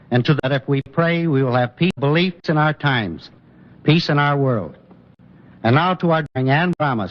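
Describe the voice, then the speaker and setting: A man hosting and narrating a 1950s radio drama from a script, formal and earnest. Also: a little high. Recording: muffled 1950s radio recording. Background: music.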